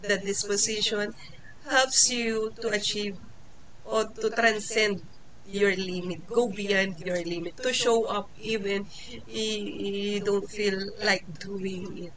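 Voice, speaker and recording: Filipino woman in her forties, unhurried informal class talk, hesitant with lots of fillers, crowd murmur behind. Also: mid-range voice, steady tone, poor audio. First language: Tagalog. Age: 40s. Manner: hesitant, many fillers and restarts, laughs often. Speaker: teacher. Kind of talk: informal lecture.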